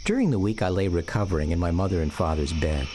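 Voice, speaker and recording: An actor playing a grown man recalling his exiled boyhood, narrating a radio drama in a measured, reflective, wistful way. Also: sits low, background noise, close to an everyday voice.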